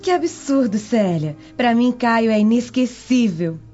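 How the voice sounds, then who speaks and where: A woman as vain young patrician girl, fairly high, mellow, radio serial. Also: lively intonation, a little slow. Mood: smitten, scolding. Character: vain, dreamy young Roman daughter. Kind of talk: radio drama acting. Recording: dull lo-fi audio with music.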